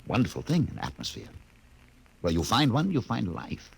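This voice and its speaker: An actor playing a fatalistic, sardonic expedition doctor in a 1950s radio drama, speaking deliberately and philosophically. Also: male voice, on the low side, huge pitch swings, vocal fry, husky, full character voice, poor audio. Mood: ominous musing.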